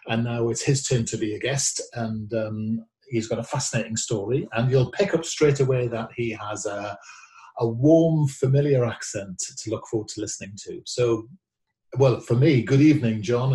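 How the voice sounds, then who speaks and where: A man hosting a podcast, opening the show and interviewing his guest in a measured way with frequent "um"s. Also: mid-range voice, warm tone. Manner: measured, uses "um" between phrases. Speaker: podcast host. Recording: echoey room with faint music.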